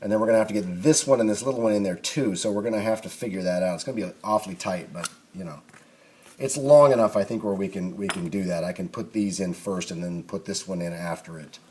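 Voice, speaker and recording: A man's voice: deep voice, fast, warm tone. A man giving an unscripted, casual workshop tutorial, rambling and thinking aloud in a folksy way as he works. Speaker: hobbyist restorer demonstrating repairs. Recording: clear background noise, home workshop video.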